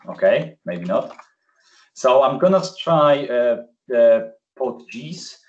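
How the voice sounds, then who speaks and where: A man whose first language is Polish, presenting a live software demo on a video call in a chatty way with many fillers. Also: medium pitch, expressive, slow pace. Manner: chatty, filler-heavy, self-correcting. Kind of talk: live screen-share demo. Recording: distant, muffled video call audio.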